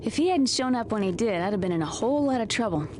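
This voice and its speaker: A woman's voice: a little high, expressive, fast talker, noisy background, close to an everyday voice. A woman voicing a modest, cautious young Jedi student in a video game.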